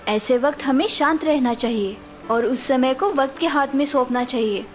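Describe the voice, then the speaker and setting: A woman doing a gentle, wise village mother. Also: sits high, moderate pace, faint music behind, lightly acted. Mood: gentle advising. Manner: calm, patient advice.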